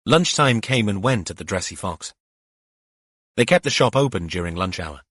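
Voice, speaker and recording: A man's voice: fairly low pitch, animated, moderate pace, a bit bright, husky, no echo, clean studio sound. A man reading a mystery novel as scripted audiobook narration.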